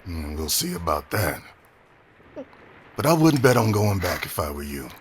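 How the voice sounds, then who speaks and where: A man plays a burly, swaggering seafarer with a very deep voice. He taunts and teases with lively swings of tone, half threat and half amusement. Faint ambience runs behind this audio drama scene. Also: normal speed, slight background noise. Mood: mocking, menacing. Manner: teasing, intimidating. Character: broad, swaggering, mocking male pirate sailor.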